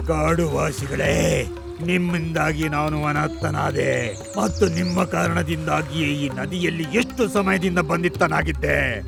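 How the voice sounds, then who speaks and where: A man voicing a vengeful man-eating demon in a children's cartoon story, with a gravelly voice and menacing declamation. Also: fairly high pitch.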